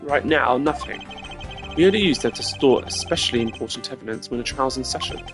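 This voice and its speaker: A man voicing a composed, sharp-minded male prosecutor who talks in measured, formal sentences. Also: mid-range voice, lightly acted. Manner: measured, formal, precise deductions. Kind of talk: live-stream game voiceover. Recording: game music behind voice. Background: game music.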